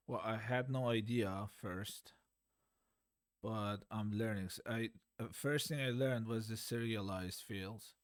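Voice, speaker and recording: Slow low-ish guy walking through his code, keeps hunting for words, pretty dry sound. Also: dry recording. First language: Farsi. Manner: hesitant, searching for words, self-deprecating. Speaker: beginner game developer. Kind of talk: unscripted coding walkthrough.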